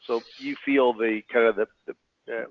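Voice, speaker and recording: A man who works as a financial advisor, speaking as a webinar guest, answering questions with a halting delivery full of repeated words. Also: medium pitch, steady tone, dry recording. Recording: tinny phone line.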